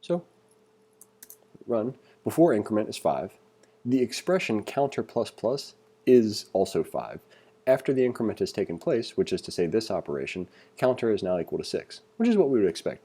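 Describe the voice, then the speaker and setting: A man with a lowish, very relaxed and mellow voice teaches in an informal screencast style. He talks conversationally, thinking aloud as he reads along and comments. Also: moderate pace, husky. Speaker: programming tutorial instructor. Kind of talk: casual screencast tutorial, reading along and commenting.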